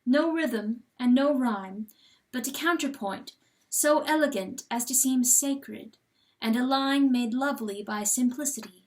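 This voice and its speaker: A woman giving a dramatic, intense and deliberate storybook narration. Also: high-pitched, a little mellow. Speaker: audiobook narrator.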